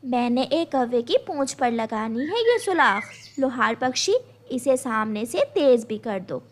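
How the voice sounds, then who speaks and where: Woman playing a cartoon mother bird, high voice, slow pace, resolute, clever, measured, slight background noise. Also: expressive. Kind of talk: animated children's story dialogue.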